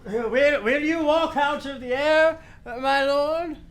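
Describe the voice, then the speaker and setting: An actor playing a fussy, pompous, long-winded old royal counsellor in a theatrical Shakespearean radio play. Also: male voice, very high-pitched, takes it slowly, fairly bright tone, exaggerated voice. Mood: fussy, deferential.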